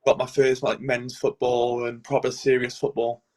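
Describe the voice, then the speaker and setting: A man's voice: mid-range voice, moderate pace. A man in his twenties with a Cardiff Welsh accent, a goalkeeper answering interview questions casually with many "um"s. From Wales. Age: 20s. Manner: relaxed, many "um"s and "and stuff". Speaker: guest goalkeeper.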